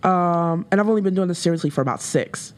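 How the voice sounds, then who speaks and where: A woman rapper with a deeper voice, talking candidly as a guest in a casual interview, with frequent fillers. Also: fast. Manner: candid, reflective, with laughs and many fillers.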